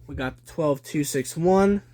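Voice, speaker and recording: A young adult man giving a casual show-and-tell narration on a phone at home, listing items deliberately in short phrases. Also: on the high side. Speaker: hobby collector presenting a video. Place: small room at home. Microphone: handheld phone behind the camera. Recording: noisy handheld home video with clicking.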